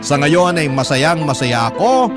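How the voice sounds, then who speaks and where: A man reading a first-person love story as a radio host, in a measured, expressive, dramatic storytelling style. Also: a little high. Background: music.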